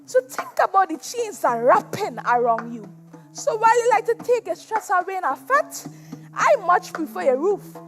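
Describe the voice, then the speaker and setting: A woman's voice: very high voice, sing-song, normal speed, exaggerated voice. A Trinidadian woman playing an embittered former slave turned restless spirit, delivering a rhyming dramatic monologue on stage in a theatrical, anguished manner. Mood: taunting, menacing. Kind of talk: dramatic spoken-word stage monologue in rhyme. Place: stage at a competition in a performance hall. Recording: live stage performance with music.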